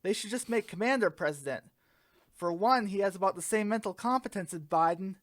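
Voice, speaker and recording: Woman briskly co-hosting a parody newscast. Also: mid-range voice, dry recording, studio quality. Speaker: sketch comedy news co-host.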